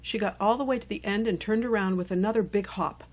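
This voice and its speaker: A woman reading a children's chapter book aloud as narrator, in clear, simple phrases meant for young listeners. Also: medium pitch, fast. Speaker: storybook narrator.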